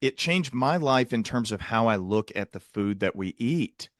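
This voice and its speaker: A man speaks as the host of a clinical interview podcast. His pitch sits in the middle and the sound is very dry. He is earnest and wordy, rephrasing as he reflects at length. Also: lively intonation, moderate pace, dry recording. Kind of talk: conversational interview with long reflective remarks.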